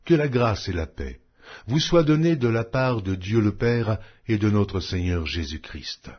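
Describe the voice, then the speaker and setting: Male scripture reader, low husky voice, solemn measured delivery, slightly muffled audio. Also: lively intonation. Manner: measured, solemn reading with wide pitch swings. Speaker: Bible reader.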